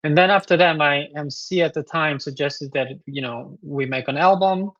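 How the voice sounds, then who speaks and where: A man's voice: a little high, normal speed, a bit bright, no room sound. Korean-accented man, dull dry sound. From South Korea. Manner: thoughtful, many fillers and restarts. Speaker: guest composer. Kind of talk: interview answers.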